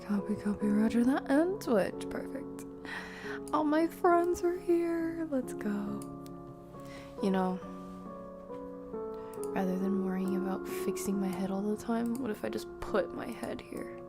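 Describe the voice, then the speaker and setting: A woman streaming a game, chatting playfully with viewers and often dropping into hushed whispered asides. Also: mid-range voice, unhurried, long pauses, over music. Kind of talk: casual live-stream chat while playing.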